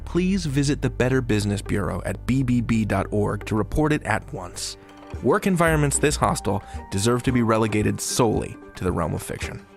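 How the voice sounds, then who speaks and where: Casting: male host, gravelly and highly animated, wry dramatic delivery for a scripted drama intro. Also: a little fast. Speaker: host and announcer. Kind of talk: scripted theatrical podcast introduction. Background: music.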